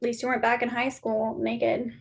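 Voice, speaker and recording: A woman's voice: a little high, completely flat delivery, fairly quick, vocal fry. A woman acting a breezy, coaxing young roommate with casual chatter in a naturalistic stage play reading. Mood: gentle.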